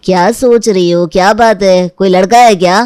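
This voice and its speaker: A woman's voice: medium pitch, fairly even intonation, normal speed, darkish tone, clear, resonant tone, dry recording, studio quality, lightly acted. A woman voicing a concerned, firm middle-aged father in a cartoon family story. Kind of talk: cartoon moral-story dialogue.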